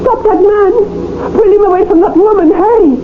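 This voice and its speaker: An eerily certain mystic in a vintage radio mystery. Her voice is very high, urgent and portentous, full of command. The sound is tinny and noisy. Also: moderate pace. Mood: urgent, alarmed. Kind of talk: 1980 radio drama acting.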